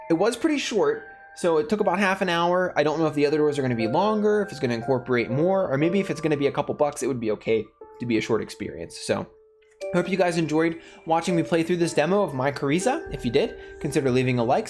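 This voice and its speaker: A man's voice: on the high side, lively intonation, fast, faint music behind. A man who hosts gaming videos, reading story text aloud and commenting casually.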